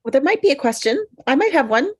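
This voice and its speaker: A woman's voice: high-pitched, very animated, fast talker, a little mellow. A woman playing a stubborn, defensive maid of honor, awkward and insistent, in a comedy table read. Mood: awkward persuasion.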